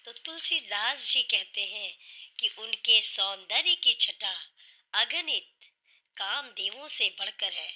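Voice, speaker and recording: A woman with a sore, hoarse throat, earnestly explaining a devotional hymn line by line and patiently restating each meaning. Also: medium pitch, expressive, slow, pushed and bright. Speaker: devotional singer and channel host.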